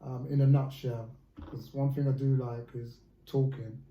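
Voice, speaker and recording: A man coaching a small group, upbeat and playful and fond of teasing himself. His voice is mellow and relaxed, and the room around him is echoey. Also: medium pitch, normal speed. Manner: upbeat, joking and self-mocking. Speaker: life coach. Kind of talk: friendly coaching session.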